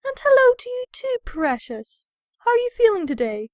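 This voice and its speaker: A woman's voice: very high-pitched, big pitch swings, moderate pace, no echo, clean studio sound. A woman voicing an elegant, doting young female pony with refined, theatrical manners in an audio drama. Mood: gracious, doting. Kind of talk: scripted audio drama character acting.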